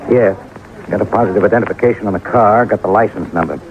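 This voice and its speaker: Man acting grim, quick-talking radio police sergeant. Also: on the low side, close to an everyday voice. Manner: clipped fragments, deadpan reporting. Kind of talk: 1950s radio drama acting. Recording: noisy narrow-band old radio recording.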